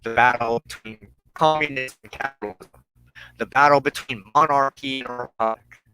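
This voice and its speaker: An American man giving an earnest personal monologue as a broadcast host, speaking in deliberate, halting phrases with emphatic pauses. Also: mid-range voice, steady tone, sharp tone, soft background music, rough recording. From United States. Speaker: host of a weekly religious broadcast.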